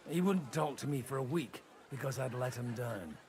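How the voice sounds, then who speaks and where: A man's voice: medium pitch, moderate pace, background noise, natural voice. An actor playing a blunt, disgraced brawling priest, a nobleman's elder son, in dramatic medieval game dialogue. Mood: wry, frank. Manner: blunt, plain-spoken.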